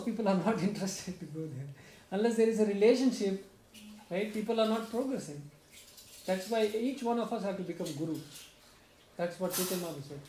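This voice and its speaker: A young man talks earnestly to a small devotional gathering in a room with a home shrine, his fairly high voice ringing around the space. He explains step by step, checking in with "right?", over a steady hiss of background noise. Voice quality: soft low speaking voice. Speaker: speaker summing up a satsang. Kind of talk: devotional talk to a small group. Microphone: stand mic in front, filmed by far camera. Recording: roomy echo with clear background noise.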